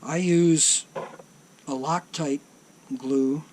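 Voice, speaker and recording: A middle-aged man explains a repair step by step in an unhurried, plainspoken way, stopping often on ums and uhs. His voice sits a little high for a man, and he talks very slowly. Light background noise hangs under the recording. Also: fairly even intonation, darkish tone, poor audio. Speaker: tape deck repair technician. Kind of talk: workshop demonstration.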